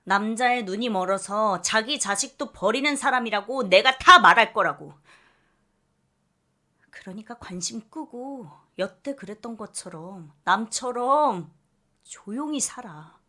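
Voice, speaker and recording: A woman's voice: a little high, expressive, a little slow, sharp tone, full character voice. A woman voicing a bitter young adult daughter in a story, resolute, with cutting retorts. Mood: angry warning.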